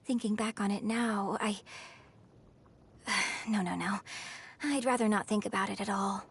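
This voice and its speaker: A woman's voice: medium pitch, steady tone, unhurried, breathy edge. A woman playing a refined, gracious young opera singer and troupe director in a video game, with elegant, formal, poetic phrasing. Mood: reflective. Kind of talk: video game character voice lines.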